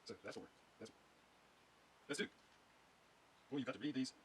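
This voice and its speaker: A man with a gravelly voice, muttering haltingly to himself as he thinks aloud through technical work and repeats readings. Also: medium pitch. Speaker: radio restorer. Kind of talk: thinking aloud while working. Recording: clearly noisy workshop recording.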